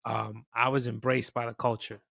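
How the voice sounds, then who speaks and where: A man's voice: medium pitch, little pitch movement, normal speed, creaky, a bit bright, dry recording, clean studio sound. A man in his thirties from New York City, with a Dominican-tinged New York accent, chatting casually as a podcast guest and often saying "bro". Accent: New York, Dominican-influenced. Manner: laid-back, peppers speech with "bro". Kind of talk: casual chat.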